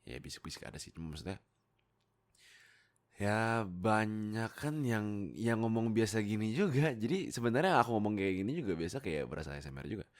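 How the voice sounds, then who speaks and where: A young man chatting casually to his viewers in a deep, gravelly voice, informal and self-deprecating. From Indonesia. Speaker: YouTube roleplay voice creator.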